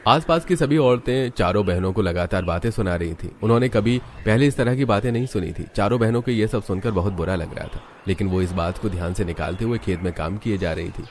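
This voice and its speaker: A man serves as narrator of a scripted family cartoon tale. His voice sits fairly low, and there is faint noise in the recording. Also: brisk, hardly any pauses, a little mellow. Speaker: story narrator.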